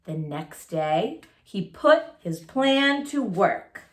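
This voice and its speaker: A woman's voice: mid-range voice, very animated, extremely slow, roomy sound. A woman reading a children's storybook aloud like a teacher, expressively, talking directly to her young listeners.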